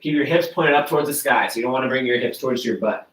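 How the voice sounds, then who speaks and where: A man's voice: medium pitch, quick pace, a bit bright. A man in his thirties with a stuffy, congested nose, casually coaching a live workout while demonstrating stretches in a garage gym. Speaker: fitness coach. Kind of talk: live-streamed workout instruction. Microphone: camera mic from across the room. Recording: echoey garage, voice from a distance.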